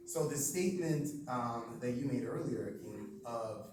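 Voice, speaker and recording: Measured Canadian-born man in an echoey room. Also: medium pitch, expressive, a little mellow. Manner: measured, deliberate, with halting restarts. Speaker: panel participant. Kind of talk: discussion panel. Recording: roomy, distant mic with noise.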